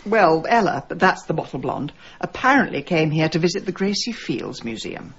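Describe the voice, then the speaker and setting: A middle-aged British woman sleuth with a husky edge and a very animated delivery. She is quick-witted, stubborn and wry, in radio drama style. The recording is a little muffled. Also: low-pitched, exaggerated voice. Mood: chatty, wry. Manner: wry, quipping, expressive.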